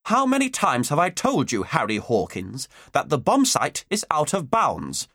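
Man playing a severe, sarcastic woman schoolteacher, pitched up. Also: huge pitch swings, a bit bright, breathy edge, dry recording, studio quality, heavily acted. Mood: stern, angry. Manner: acid sarcasm, severe, deliberate emphatic delivery. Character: furious, sarcastic woman schoolteacher, a fearsome disciplinarian.

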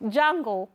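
A woman with a Kenyan accent giving a conference talk in a church and running a word game with the audience, teasing and laughing often. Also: sits high. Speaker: conference speaker. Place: church hall with live audience.

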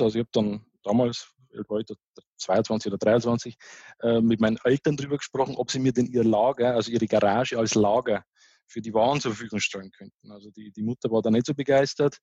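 A man's voice: mid-range voice, darkish tone, slightly rough, no echo, lo-fi sound. A man with a Bavarian accent, a start-up founder, telling his story as a guest in a casual interview, with many fillers and restarts.

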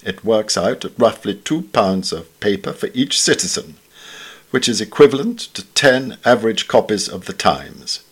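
A man reading literary essays from a script in a formal, measured manner. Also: lowish voice, big pitch swings, slightly rough. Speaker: reader of newspaper essays.

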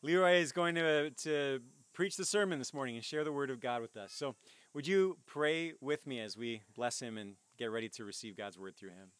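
A man's voice: mid-range voice, normal speed, fairly bright tone, clean tone, no echo. A man who is a pastor, welcoming listeners, introducing a guest preacher and praying. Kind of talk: church welcome and prayer.

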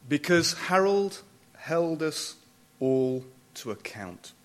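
A man giving a prepared memorial speech, formal and deliberate, with emphatic pauses and dry wit. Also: a little high, sing-song. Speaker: closing speaker at a memorial event.

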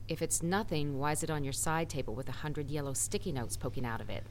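A woman's voice: quick pace, a little hiss or hum, natural voice. A woman playing a blunt, pointed assistant. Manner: pointed, low-key. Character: blunt adult female assistant. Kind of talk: comedic audio drama acting.

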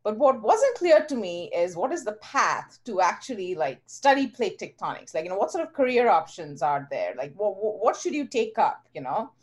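A woman's voice: medium pitch, big pitch swings, normal speed, few pauses, a little mellow. A woman from India, a geoscience professor talking earnestly as a podcast guest. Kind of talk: casual interview talk.

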